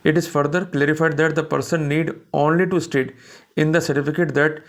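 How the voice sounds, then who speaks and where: An Indian man giving a didactic, explanatory law lecture, deliberately explaining points as a teacher does. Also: on the high side, little pitch movement. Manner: didactic, often checks that listeners follow. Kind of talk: explanatory lecture mixing Hindi commentary with English read-outs.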